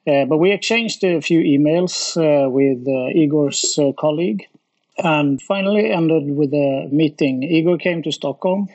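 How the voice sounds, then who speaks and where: Middle-aged male designer as interview guest, Swedish accent, gravelly creak, slightly raised pitch, conversational. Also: darkish tone, highs cut off. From west coast of Sweden.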